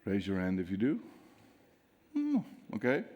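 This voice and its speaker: A man's voice: on the low side, unhurried, highs cut off. A man from the Netherlands with a Dutch accent, giving a conference talk on security, acting out dialogue and quizzing the audience. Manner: lively storyteller, acts out dialogue, asks audience questions. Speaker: security speaker. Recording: long hall reverb.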